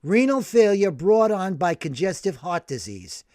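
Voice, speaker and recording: A man voicing a brash, excitable older working-class man who tells his story in animated slang. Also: high-pitched, unhurried, no echo, clean studio sound. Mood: indignant.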